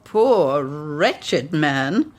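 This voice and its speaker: A proud older Victorian matriarch in a radio drama, low-voiced and swooping with expression. She is blunt and protective, handing down formal, emphatic judgements. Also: big pitch swings, extremely slow, heavily acted. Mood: grave, narrating. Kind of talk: period radio drama acting.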